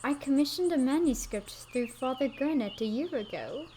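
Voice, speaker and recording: A woman's voice: high voice, animated, moderate pace, warm tone, a little hiss or hum. A woman reading aloud the lines of a courteous noble male patron in her own voice.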